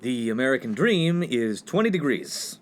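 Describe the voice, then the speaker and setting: A man's voice: medium pitch, very animated, normal speed, close to an everyday voice. A man playing an earnest, exasperated young college freshman in a comedic radio play, indignant and sarcastic. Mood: dismayed. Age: young adult. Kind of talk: comedic 1950s-set radio play acting. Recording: faint background noise.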